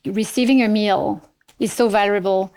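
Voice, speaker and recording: Middle-aged woman, native French speaker, French accent; podcast guest, measured and thoughtful with hesitations. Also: mid-range voice, steady tone, darkish tone. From France. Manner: measured, many fillers and restarts. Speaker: nonprofit CEO, guest. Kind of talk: podcast interview.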